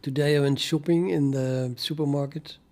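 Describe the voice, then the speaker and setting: An older man from the Netherlands with a Dutch accent, talking casually and haltingly to his livestream chat while he codes. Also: on the high side, steady tone, darkish tone. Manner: musing, hesitant, halting with many fillers, chuckles to himself. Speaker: programming livestreamer.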